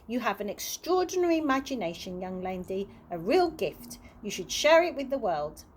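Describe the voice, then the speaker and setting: A woman voicing a kindly, wistful old male tramp from a children's storybook, earnest and gently encouraging. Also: fairly high pitch, very animated, normal speed, a little hiss or hum.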